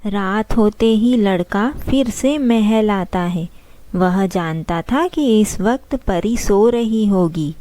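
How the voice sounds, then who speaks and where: A woman narrating a scripted children's story in a measured way. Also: mid-range voice, mellow, slight background noise. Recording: clear music behind the voice.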